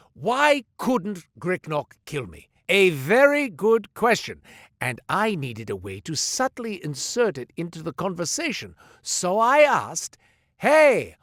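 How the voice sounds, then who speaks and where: An actor playing a sly, smooth-talking male merchant who spins tales while selling gear, in a game. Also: fairly high pitch, fairly bright tone, no room sound, clean studio sound, heavily acted. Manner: theatrical, salesman patter, wry. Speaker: shopkeeper narrating a tale. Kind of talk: studio game voice lines. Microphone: close studio mic.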